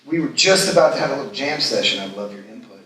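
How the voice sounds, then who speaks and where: An actor playing an eager, corny boyfriend who fancies himself a guitar crooner, in a live table read. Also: male voice, mid-range voice, animated, quick pace, sharp tone. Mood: friendly, eager. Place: live festival reading in an echoey room. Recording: echoey hall, far from mic.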